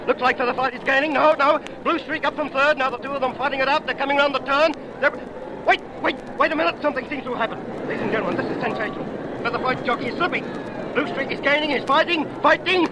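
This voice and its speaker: Racetrack commentator: old-time radio, urgent, breathless, very fast delivery, heavy background roar. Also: male voice, extremely high pitch. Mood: excited. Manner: urgent, breathless, repeating words. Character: frantic horse race track commentator. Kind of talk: 1950s radio drama race commentary. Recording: old radio recording, loud racetrack noise.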